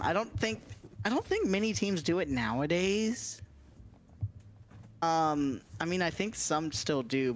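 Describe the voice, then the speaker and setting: A man's voice: on the high side, slight background noise. A man chatting casually as a livestream guest, explaining things in a hesitant, circling way with frequent hedging.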